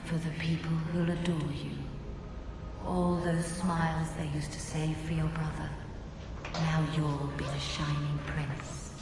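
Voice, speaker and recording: A woman voicing a sly, seductive desire demon in a video game, slow and insinuating, with an echoing effect on the voice. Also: sits low, little pitch movement, music in the background. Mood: mocking, insinuating. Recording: cavernous distant reverb, noisy game capture.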